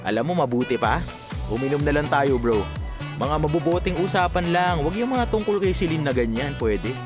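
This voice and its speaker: A man's voice: a little high, animated, brisk, over music, natural voice. A Filipino man playing an earnest, guarded young man secretly in love, in a radio drama. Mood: reluctant, wistful. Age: young adult.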